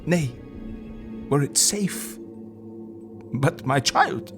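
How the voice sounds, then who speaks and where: An actor playing a solemn, fatherly older professor in an audio drama, speaking gravely and deliberately over music. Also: on the high side, expressive. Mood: solemn, tender. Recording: music under the voice.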